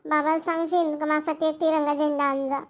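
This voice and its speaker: Eager young schoolgirl, acted, very high voice, cartoon. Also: little pitch movement, fast talker, lightly acted. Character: eager little schoolgirl talking to her mother. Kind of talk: cartoon dialogue.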